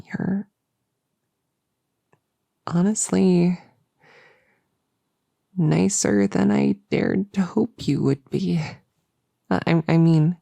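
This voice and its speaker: Woman acting a flustered, soft, low-voiced cultist girl. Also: lively intonation, gravelly, dry recording, full character voice. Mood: tender, shy. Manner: flustered, halting, stammering, rambling. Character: nervous, lonely, bossy-but-gentle female cultist demon summoner. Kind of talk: intimate roleplay audio drama, sleep-aid ASMR. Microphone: close, dry microphone.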